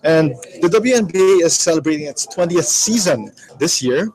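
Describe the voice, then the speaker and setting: A man hosting a radio sports segment, his voice sitting a bit high. He asks his guest clear, polite questions at an even pace, dropping soft murmurs of agreement in between. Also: normal speed, poor audio. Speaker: radio sports show interviewer. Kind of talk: interview questions.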